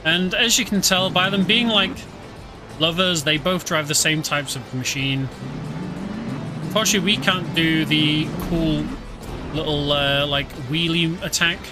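A man's voice: a little high, measured pace. Chatty British man over game music. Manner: chatty, explains the game with many fillers. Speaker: streamer playing a video game. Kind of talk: casual let's-play commentary. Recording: game music clearly audible underneath.

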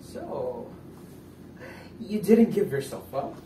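A man's voice: fairly high pitch, very animated, takes it slowly. Young man acting a brooding, declamatory man. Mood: startled curious. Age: young adult. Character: anxious, brooding man troubled by his conscience. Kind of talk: theatrical stage acting. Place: indoor living-room stage set. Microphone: far camera. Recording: echoey room, distant camera, faint noise.

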